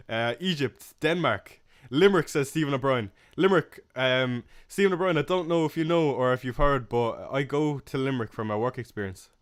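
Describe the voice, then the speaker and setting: A young man with a Waterford Irish accent, hosting a casual fantasy football livestream, chatting with viewers and answering comments with plenty of fillers and soft laughs. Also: medium pitch, moderate pace, smooth. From Ireland. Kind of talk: casual live-stream chat reading comments.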